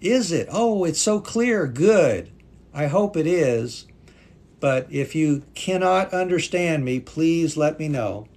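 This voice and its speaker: A man's voice: a little high, lively intonation, takes it slowly, a little mellow. A native English-speaking man teaching English on a live stream, patiently reading viewer comments aloud and thanking them. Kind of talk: live-stream language class chat.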